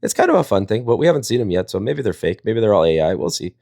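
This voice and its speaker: A man's voice: on the low side, sing-song, fast, vocal fry, a little mellow, no room sound, clean studio sound. A man, chatting and telling stories in a rambling, jokey way. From Wisconsin, United States. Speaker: storyteller and live panel host. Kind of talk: casual chat and storytelling.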